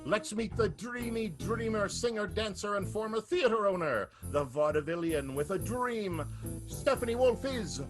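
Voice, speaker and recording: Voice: medium pitch, lively intonation, normal speed. An announcer narrating an improvised radio serial in a grandiose, dramatic, theatrical way. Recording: music behind the voice.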